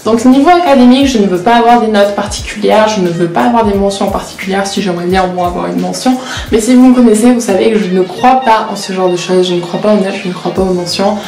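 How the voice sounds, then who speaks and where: A woman's voice: mid-range voice, fast talker, creaky, darkish tone, faint music behind. Candid young woman vlogging in a roomy space. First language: Portuguese. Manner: candid and self-mocking, with frequent hesitations. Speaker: vlogger and law student. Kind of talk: casual confessional vlog chat. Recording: roomy echo.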